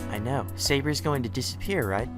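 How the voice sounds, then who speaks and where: A man's voice: medium pitch, brisk, loud music behind the voice, pitched above the performer's own voice, close to an everyday voice. A man voicing an eerie, cold little girl in a visual novel read-through, shifting from a childlike lilt to an icy, menacing tone.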